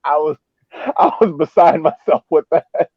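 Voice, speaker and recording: A man's voice: fairly high pitch, lively intonation, a little slow, dry recording. Man on a thin phone-like line. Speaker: soccer podcast panelist. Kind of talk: casual sports discussion. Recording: phone-like, band-limited call audio.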